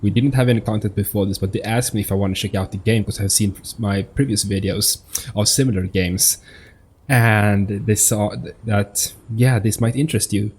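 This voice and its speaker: Low-voiced man, casual gaming commentary. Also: fairly even intonation, moderate pace, darkish tone, breathy edge. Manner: hesitant, repeats words, thinks aloud. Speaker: gaming YouTuber. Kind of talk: casual let's-play commentary.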